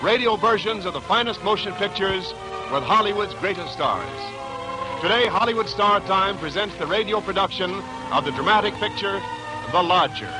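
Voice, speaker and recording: Casting: showy 1940s male announcer, raised pitch, measured and emphatic over music. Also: lively intonation, low-quality recording. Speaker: radio announcer. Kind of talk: formal 1940s radio announcing. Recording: old 1940s radio broadcast, music behind.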